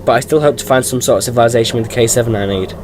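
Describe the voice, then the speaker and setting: A man's voice: mid-range voice, racing, noisy background, close to an everyday voice. A man playing a jaunty, impatient, eccentric time-travelling adventurer in a sci-fi audio drama. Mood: upbeat.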